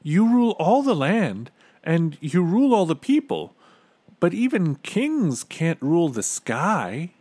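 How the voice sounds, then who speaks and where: A man doing a high, earnest page boy. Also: very animated, measured pace, a little mellow, no room sound. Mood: pleading, anxious. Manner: pleading, reasoning.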